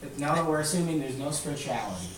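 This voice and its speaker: A man's voice: a little high, animated, fast talker. A man teaching children informally, explaining things simply and prodding them with repeated questions. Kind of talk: casual question-led lesson. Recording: echoey room, distant mic, faint noise.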